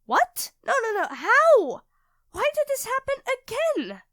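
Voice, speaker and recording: A very high, dry-recorded young girlfriend acted by a woman in an audio roleplay. She is sweet and tender with her partner, expressive in delivery and easily thrown into a fluster. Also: slow pace, no room sound, exaggerated voice. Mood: panicked, disbelieving. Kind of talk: ASMR roleplay acting addressed to the listener.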